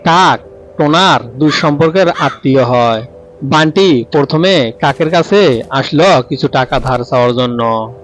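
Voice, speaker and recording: A man's voice: medium pitch, lively intonation, unhurried, faint music behind. A man narrating a scripted cartoon story as its storyteller.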